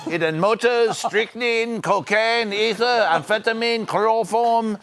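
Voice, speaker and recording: A man's voice: sits high, a little slow, fairly bright tone. A man playing a lecherous, pompous French cycling pundit in a put-on French accent, full of innuendo. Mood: boastful. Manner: innuendo-laden, theatrical, drawled. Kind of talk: comedy sketch in character.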